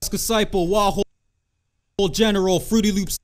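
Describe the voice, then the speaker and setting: A man's voice: sits high, steady tone, moderate pace. A man rapping a hip hop vocal in short, rhythmic phrases. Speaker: rapper.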